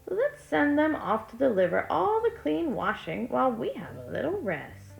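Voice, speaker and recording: A woman's voice: sits high, wildly swooping pitch, normal speed, vocal fry, dull audio, faint music behind, pitched above the performer's own voice, heavily acted. A woman voicing the kind youngest of the old female gnomes in a storybook. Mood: kindly.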